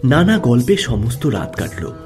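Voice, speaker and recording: Guy narrating a classic story dramatically, very expressive pitch swings, music in the background. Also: unhurried. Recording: music under the voice.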